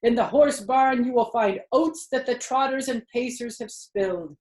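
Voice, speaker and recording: A woman's voice: on the high side, darkish tone, echoey room, pitched above the performer's own voice, natural voice, rough recording. A woman voicing a chatty, worldly old female sheep in a storybook reading.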